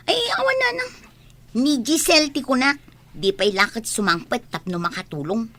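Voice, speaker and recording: Woman as a high, sharp-voiced grumbling daughter. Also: expressive, normal speed. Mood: grumbling, irritated. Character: grumbling, resentful adult daughter. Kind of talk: Ilocano radio comedy drama acting.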